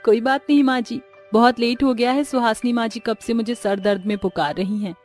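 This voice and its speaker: A woman voicing a dutiful, hurried young daughter-in-law in a cartoon family story, obliging in manner. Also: a little high, steady tone, darkish tone, faint music behind, natural voice. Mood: obliging, harried.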